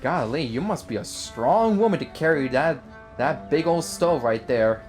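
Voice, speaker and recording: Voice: mid-range voice, lively intonation, a little slow, a little mellow, soft background music. A gamer giving casual, playful commentary and answering the game's dialogue in an informal way. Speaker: gaming video host. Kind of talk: casual game commentary, voicing own replies to dialogue.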